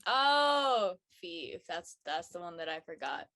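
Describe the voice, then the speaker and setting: A woman's voice: fairly low pitch, big pitch swings, takes it slowly, pushed and bright. Casual woman chatting in a group. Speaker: panelist in a post-show cast discussion. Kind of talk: casual chat.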